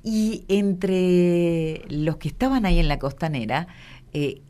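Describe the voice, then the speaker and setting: Low-voiced Argentine woman radio host. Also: lively intonation, slow pace, mellow. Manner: chatty, with frequent fillers. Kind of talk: casual radio chat.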